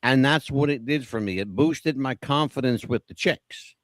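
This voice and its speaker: A man's voice: mid-range voice, moderate pace, dry recording, clean studio sound. A man co-hosting a podcast, telling chatty, self-deprecating stories about songs from his past. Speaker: podcast co-host. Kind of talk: casual chat about favorite songs.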